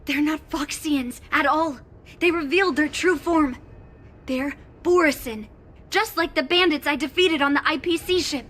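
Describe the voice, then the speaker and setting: A woman's voice: sits high, normal speed, fairly bright tone. An actress playing a confident young woman fighter in a video game, firm and matter-of-fact. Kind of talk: game voice acting.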